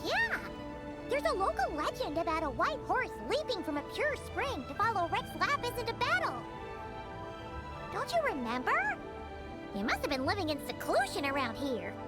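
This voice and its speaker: A woman voicing a small, chatty, excitable childlike companion in a video game. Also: very high voice, normal speed, full character voice. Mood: chatty, cheeky. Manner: exclamatory, chatty, refers to herself in third person. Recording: game dialogue with loud background music.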